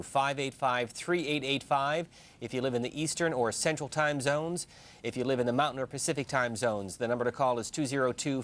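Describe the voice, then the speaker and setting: A man hosting a live broadcast call-in programme, announcing and putting questions in a measured way. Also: medium pitch. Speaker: call-in show host.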